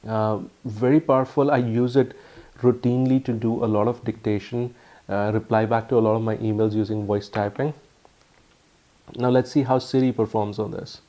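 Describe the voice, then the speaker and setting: A man with an Indian accent giving a casual, halting phone review full of ums and uhs. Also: on the low side, flat delivery, warm tone. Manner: earnest, explanatory. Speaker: tech reviewer.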